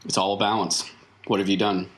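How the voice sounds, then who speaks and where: A man playing a weary, mysterious circus veteran in tabletop roleplay, explaining things patiently and haltingly. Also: low voice, flat delivery, close to an everyday voice. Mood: calm, probing. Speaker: game master. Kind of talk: improvised in-character tabletop roleplay.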